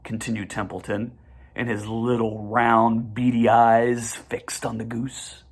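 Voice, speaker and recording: A reader narrating a children's storybook in an expressive manner. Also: medium pitch, slow, breathy edge. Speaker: audiobook reader.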